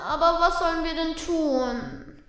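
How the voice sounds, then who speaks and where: Woman playing a very high-pitched anxious child detective. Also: slow, fairly bright tone, exaggerated voice. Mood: worried. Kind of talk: children's radio play acting.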